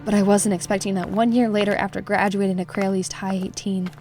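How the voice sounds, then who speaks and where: A woman playing a troubled young woman who narrates a visual novel in a somber, measured way. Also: medium pitch, flat delivery, darkish tone, soft background music, lightly acted. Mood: somber, reflective. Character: troubled, reflective young woman recounting dark memories.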